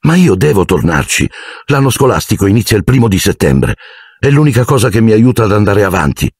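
A man's voice: on the low side, big pitch swings, brisk, a little mellow, slightly rough, dry recording, studio quality, pitched above the performer's own voice, heavily acted. A man voicing an earnest, bewildered and insistent young boy wizard in a storybook reading. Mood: dismayed.

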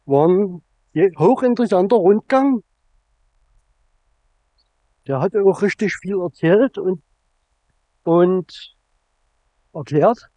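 A man in his fifties talking casually to a camera at arm's length outdoors, spontaneous and halting. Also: high-pitched, lively intonation, mellow, no echo. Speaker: vlogger. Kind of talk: casual outdoor vlog talk. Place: open grassy field outdoors.

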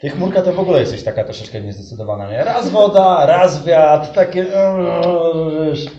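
A man's voice: a little high, lively intonation, mellow, lo-fi sound. A man voicing a chatty, teasing spirit of nature in a live improvised fairy-tale play, colloquial, in an echoey room with an audience. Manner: colloquial, teasing, hesitant pauses. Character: teasing, chatty forest wind or stream needling a cloud.

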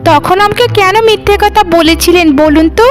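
A woman's voice: very high-pitched, a little fast, faint music behind. A woman voicing a haughty, bossy, pampered young bride in a cartoon, with an imperious, complaining way of talking. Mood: indignant. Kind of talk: animated cartoon dialogue.

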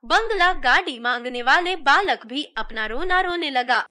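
A woman's voice: high voice, lively intonation, unhurried, bright, forceful tone. A woman narrating a scripted children's story as a storyteller.

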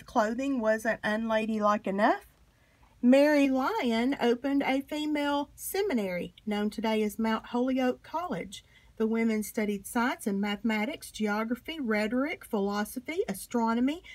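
A woman with a fairly high voice reads a children's picture book aloud. Her phrasing is expressive and emphatic, shaped for young listeners. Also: rough recording. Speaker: storybook reader.

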